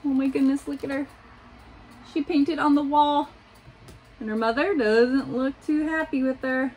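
A woman in her thirties reading a children's picture book aloud in simple, deliberate phrases, adding little asides for young listeners. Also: high voice, fairly even intonation, a little mellow, poor audio. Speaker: school staff member reading aloud to pupils. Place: small home office room, indoors. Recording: noticeable room noise behind voice.

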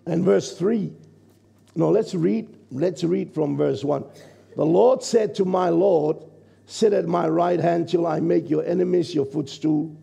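A man in his sixties, a pastor from New Zealand, preaching a sermon from a church stage with a croaky, gravelly voice and a conversational manner. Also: a little high, measured pace, warm tone. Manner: conversational preaching, checks in with the congregation. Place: church stage, large indoor hall. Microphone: stage mic at a lectern, far camera.

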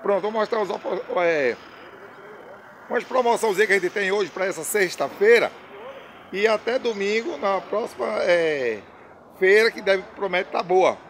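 A high-voiced man in his fifties runs through his used cars in a chatty, repetitive sales patter. His voice has a gravelly edge. He is filmed on a phone outdoors, with faint street noise behind. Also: lively intonation, normal speed. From Brazil. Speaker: used car seller. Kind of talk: casual sales pitch on camera. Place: outdoor used car lot. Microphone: phone camera at arm's length.

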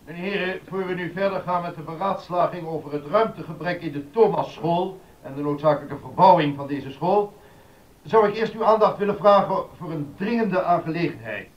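A fairly high-voiced council chairman acted by a man in a period docudrama, formal and declamatory. He sounds off-mic, with a dull top end. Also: moderate pace, natural voice. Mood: formal, grave. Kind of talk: Dutch docudrama acting. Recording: dull sound, distant from microphone.

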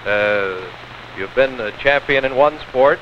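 Male American TV sports interviewer, 1950s, middle-aged, deliberate delivery, muffled hissy recording. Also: mid-range voice. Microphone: handheld mic. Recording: dull, hissy old 16mm film sound.

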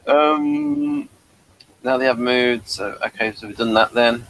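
A man's voice: medium pitch, slow, fairly bright tone, slight background noise, low-quality recording. A man hosting a casual episode review chat, talking in a hesitant, rambling way and addressing listeners. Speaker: host of a TV review discussion.

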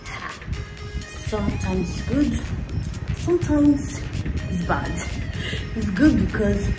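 A woman's voice: mid-range voice, animated, slow pace. Chatty Nigerian woman, echoey room, music. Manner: chatty, laughs often, wanders into asides. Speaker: vlogger. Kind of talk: casual chat to camera.

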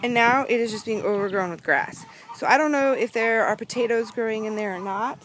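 An upbeat young woman with a fairly high voice walks through her backyard garden, chatting and pointing out plants. She records on a handheld phone outdoors, so noise and chickens clucking can be heard behind her. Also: fairly quick, a bit bright. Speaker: vlogger giving a garden tour. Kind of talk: casual walking tour narration. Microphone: phone camera held in hand. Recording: outdoor phone audio with background noise, hand over mic at times.

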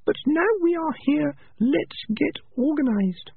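A man doing a high, calm young female rat. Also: unhurried, vocal fry, full character voice. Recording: lo-fi phone-like sound.

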